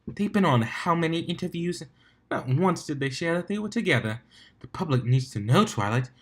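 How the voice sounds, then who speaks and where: A man's voice: fairly high pitch, lively intonation, moderate pace, fairly bright tone, pitched above the performer's own voice. Man voicing an insistent, gossip-hungry mare. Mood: eager for gossip. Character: gossip-hungry, insistent female pony socialite.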